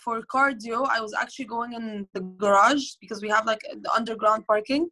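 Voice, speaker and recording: A young woman from Egypt talking over a video call from home. Her voice is bright and forceful and a little high. She chats casually, stops and restarts often, and uses many fillers. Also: fairly quick, poor audio. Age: 20s. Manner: chatty, with frequent fillers and restarts. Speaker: professional squash player, guest. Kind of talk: casual interview answers. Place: bright indoor room, at home. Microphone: phone or laptop camera on video call.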